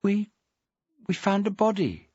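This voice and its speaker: A man's voice: high-pitched, very animated, a little slow, dark tone, no echo, highs cut off, pitched above the performer's own voice, full character voice. A man putting on a nervous young woman. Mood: hushed.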